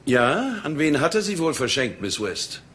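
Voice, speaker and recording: An actor playing a smooth, haughty, wealthy villain in a German crime radio play, speaking formally and with condescension. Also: male voice, on the high side, big pitch swings, normal speed, a bit bright, a little hiss or hum. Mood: skeptical, dismissive.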